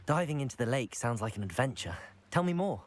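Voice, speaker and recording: A man's voice: mid-range voice, very animated, a little fast, natural voice. A man playing a polite, curious young student hero in a video game, with a courteous manner. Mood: curious, friendly. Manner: courteous, inquisitive. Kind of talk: video game character acting.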